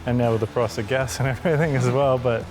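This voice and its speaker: A man's voice: medium pitch, steady tone, fast, darkish tone, soft background music. A man hosting an interview, chatty and easygoing, asking questions in a casual way. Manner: easygoing, chatty, uses "you know" and "I mean". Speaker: host.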